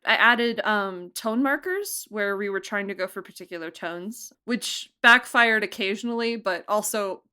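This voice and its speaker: Woman, interviewed producer, average pitch, chatty, self-effacing, laughs easily, casual conversation. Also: moderate pace, hardly any pauses, pushed and bright, clear, resonant tone. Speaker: podcast producer being interviewed.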